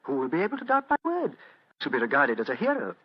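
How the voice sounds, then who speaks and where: An actor playing a coldly polite English butler who turns smug, murderous thief, in a radio mystery. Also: male voice, fairly high pitch, huge pitch swings, quick pace, slightly rough, exaggerated voice. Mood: gloating. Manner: formal, elaborately polite menace. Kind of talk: 1940s radio mystery acting. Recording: dull, muffled old radio recording.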